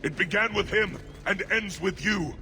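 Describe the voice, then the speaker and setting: An actor voicing a crazed, fanatical dwarf cultist in a video game, ranting fervently in a gravelly voice. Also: male voice, high-pitched, moderate pace, pushed and bright, long reverb tail, slight background noise, full character voice, rough recording. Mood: menacing zeal. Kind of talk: dramatic video game acting.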